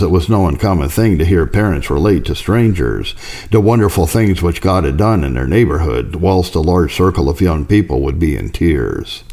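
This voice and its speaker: A man reading a historical religious letter aloud in a formal, solemn, measured manner, as an audiobook narrator. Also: low voice, dark tone. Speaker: audiobook reader.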